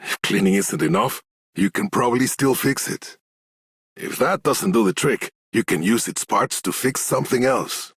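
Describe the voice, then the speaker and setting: An actor voicing a plain-spoken, practical, kindly tinkerer in a video game, with a rough, gravelly voice. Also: male voice, medium pitch, lively intonation, moderate pace, fairly bright tone, dry recording, clean studio sound. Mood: matter-of-fact, encouraging. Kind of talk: scripted game character lines.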